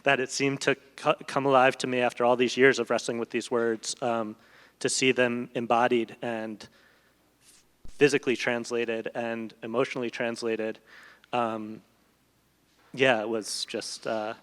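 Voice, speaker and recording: A man's voice: mid-range voice, level tone, unhurried, a bit bright. A middle-aged man, a guest translator, speaks in a thoughtful, hesitant way in long winding sentences in an unscripted on-stage conversation. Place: large event hall stage. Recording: echoey hall with long reverb.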